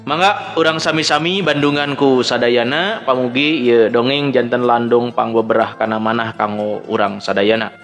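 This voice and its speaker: A man's voice: mid-range voice, fairly even intonation, normal speed, few pauses, fairly bright tone. A man with a Sundanese accent narrating a dramatic story as a storyteller. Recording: background music under the voice. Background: music.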